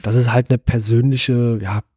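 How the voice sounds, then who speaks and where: Male film podcast host, medium pitch, a bit rough-edged, conversational and explanatory. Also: level tone, normal speed, a little mellow, no echo, studio quality. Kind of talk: casual film discussion.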